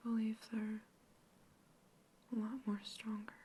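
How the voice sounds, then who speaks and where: A woman whispering gently through an ASMR roleplay, asking calm questions as an examiner. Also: mid-range voice, measured pace, slight background noise. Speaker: ASMR artist playing an examiner.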